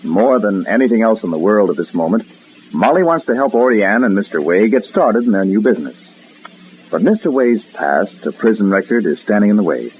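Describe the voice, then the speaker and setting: A man announcing an old-time radio soap opera, narrating dramatically with deliberate pauses. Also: fairly low pitch, animated, highs cut off, soft background music.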